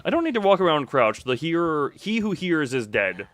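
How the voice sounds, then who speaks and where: A man's voice: fairly high pitch, very animated, moderate pace, fairly bright tone, no echo. A man doing casual live video game commentary, rambling playfully to his viewers and repeating himself as he exclaims.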